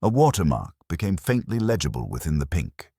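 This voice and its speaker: A man reading scripted literary fiction as narrator in a measured, formal, storytelling manner, with a husky voice. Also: deep voice, huge pitch swings, dark tone, no room sound, studio quality.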